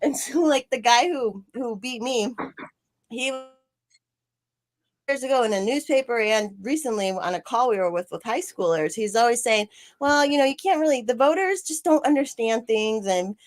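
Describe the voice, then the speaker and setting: A woman's voice: on the high side, lively intonation, normal speed. A woman hosting a casual livestream chat, rambling and swearing freely, laughing often. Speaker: podcast host, former city council candidate.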